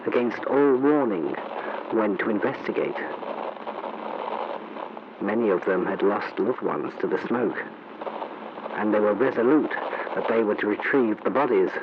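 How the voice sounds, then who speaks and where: An actor playing a grave, shaken male war reporter giving a solemn, formal eyewitness account in a 1930s radio broadcast style. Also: lowish voice. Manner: solemn, deliberate, formal narration. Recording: noisy, muffled vintage radio recording.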